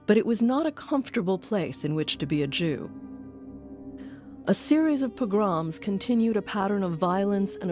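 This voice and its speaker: A woman's voice: medium pitch, darkish tone. A woman reading scripted documentary narration as the host of an audio series. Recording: music under the voice.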